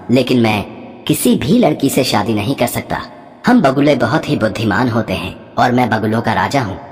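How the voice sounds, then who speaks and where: A man's voice: mid-range voice, close to an everyday voice. A lordly heron prince from a fairy tale, voiced by a man, with a swooping delivery in long reverb. He is boastful, clever and demanding.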